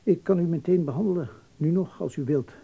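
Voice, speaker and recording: An actor in a Dutch radio drama playing a fussy, obliging hairdresser who murmurs and repeats himself deliberately. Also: male voice, on the high side, creaky, husky, narrow, tinny audio. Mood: obliging, appraising. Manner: murmured repetitions, deliberate hesitations.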